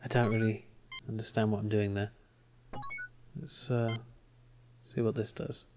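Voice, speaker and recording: Gamer, male, British. Slow, halting casual commentary, thinking aloud in puzzlement. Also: fairly low pitch, flat delivery, a little mellow, slight background noise. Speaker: gamer commentating a let's play.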